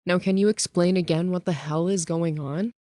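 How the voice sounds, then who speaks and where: A man voicing a sharp-tongued woman woken in the night, scolding and swearing as she worries. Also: high-pitched, fairly even intonation, normal speed, no room sound, clean studio sound, pitched above the performer's own voice, close to an everyday voice. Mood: irritated, sarcastic. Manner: sassy, scolding, swears freely. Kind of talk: audiobook character voice.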